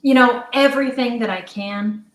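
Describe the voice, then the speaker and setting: A woman's voice: on the high side, moderate pace. A young woman chatting casually and emphatically as a guest in a podcast interview. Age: young adult. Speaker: interview guest, audio drama creator. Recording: roomy, echoey voice.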